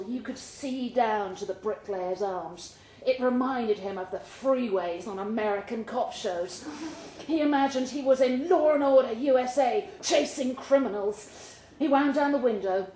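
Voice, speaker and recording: A woman's voice: on the high side, warm tone. A woman giving a live dramatic reading of a short story at an event in a pub. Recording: roomy live pub recording, faint background noise.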